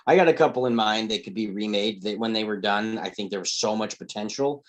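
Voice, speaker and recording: A man's voice: mid-range voice, low-quality recording. A man talking casually and conversationally in a group discussion. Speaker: table read participant.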